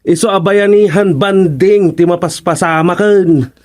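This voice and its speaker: A man playing a cheerful, unhurried villager in an Ilocano radio drama-comedy. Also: high-pitched, a little mellow.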